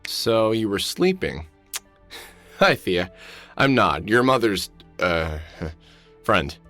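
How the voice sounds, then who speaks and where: A man's voice: on the low side, sing-song, slow, bright, forceful tone, heavily acted. A man voicing a wry, protective middle-aged adventure game hero who often mutters expressively to himself. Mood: startled, awkward. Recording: background music under the voice.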